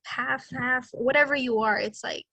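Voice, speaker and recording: Young woman podcast co-host, fairly high husky voice, dry close mic, reflective and rambling. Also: normal speed, pushed and bright, no room sound, low-quality recording. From Mexican American. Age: 20s. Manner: reflective, rambling, many fillers. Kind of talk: casual conversation.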